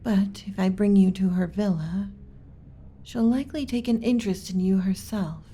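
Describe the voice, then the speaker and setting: A woman playing a gentle, submissive alien servant in an ASMR roleplay, speaking softly and breathily with hesitant, deferential pauses and some whispering. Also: medium pitch, close to an everyday voice. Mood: worried, confiding. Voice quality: soft, breathy with whispered stretches. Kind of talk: ASMR roleplay, soft intimate delivery.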